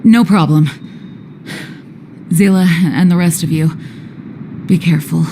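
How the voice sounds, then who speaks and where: An actress playing a weary, grateful woman who works in a mine, in a halting manner, for a video game. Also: fairly low pitch, steady tone, background noise. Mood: weary, puzzled. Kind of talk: game cutscene acting. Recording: music behind.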